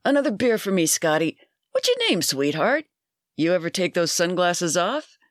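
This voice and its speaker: A narrator voicing a loud, crude, pushy middle-aged barfly in a dry recording. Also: mid-range voice, sing-song, moderate pace, no echo, lightly acted. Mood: leering.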